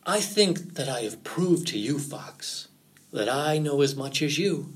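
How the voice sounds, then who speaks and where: Proud folktale rabbit chief, voiced by a man: headstrong, demanding, pitched a bit high with big swings. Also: expressive, measured pace. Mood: eager. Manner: defiant, insistent, boastful. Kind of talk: animal folktale storytelling.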